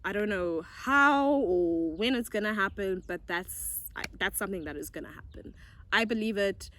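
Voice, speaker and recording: A woman's voice: mid-range voice, normal speed, fairly bright tone, a little hiss or hum. A young woman with a Zimbabwean accent, chatting casually and answering viewer questions, bubbly and giggly. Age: young adult. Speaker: YouTuber. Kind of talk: casual get-to-know-me chat.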